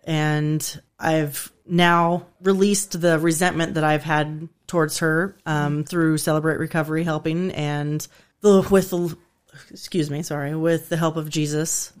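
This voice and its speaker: A middle-aged woman from Kansas sharing her personal testimony as a radio guest, soft and hesitant with ums. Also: low voice, clear, resonant tone.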